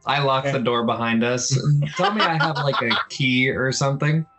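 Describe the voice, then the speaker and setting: A man's voice: medium pitch, steady tone, moderate pace, noisy background. A man chatting casually as he plays a tabletop role-playing game, describing what his character does.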